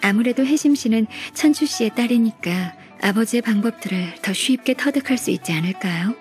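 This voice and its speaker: A woman playing a gentle, dependable senior secretary in a video game, soft-spoken, polite and measured like a kindly mentor. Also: fairly high pitch, animated, faint music behind, natural voice. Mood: calm explaining.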